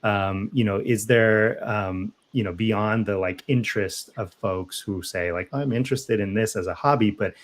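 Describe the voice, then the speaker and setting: A man's voice: fairly low pitch, normal speed, hardly any pauses. A man co-hosting a local news podcast, posing a long, rambling question with many fillers. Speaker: podcast co-host, interviewer. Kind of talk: interview question.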